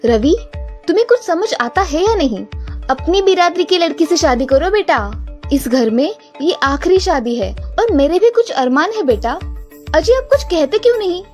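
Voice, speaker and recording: A woman's voice: very high voice, big pitch swings, moderate pace, darkish tone, soft background music. A woman voicing a bossy, scolding middle-aged mother-in-law in a cartoon, taunting and harsh. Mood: insistent. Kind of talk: cartoon voice acting.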